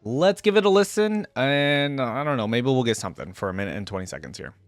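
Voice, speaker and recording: A man's voice: medium pitch, big pitch swings, fast, faint music behind. A man hosting a reaction video, commenting casually as he watches.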